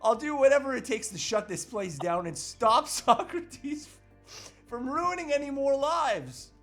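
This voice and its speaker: A man's voice: very high voice, lively intonation, measured pace, fairly bright tone, faint music behind, heavily acted. A man playing a determined, earnest and melodramatic husband on a crusade in an amateur comedy table read. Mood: scheming, determined.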